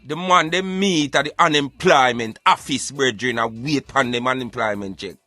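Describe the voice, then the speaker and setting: A man's voice: mid-range voice, moderate pace, no echo, faint music behind. A man with a Jamaican accent talking casually and emphatically as a radio host, giving his opinions and addressing listeners like friends. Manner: animated, emphatic, repeatedly addressing listeners as brethren.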